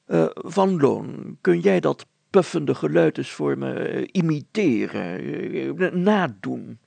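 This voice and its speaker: Pompous, nervy storybook mayor, played by a male narrator: fussy, hemming, stammering, formal, with a rough gravelly edge. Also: a little high, lively intonation, slow, a little mellow, dry recording, voice pushed up, heavily acted. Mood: puzzled. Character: flustered, pompous mayor, adult man, nervously fussy. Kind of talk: children's story character voice.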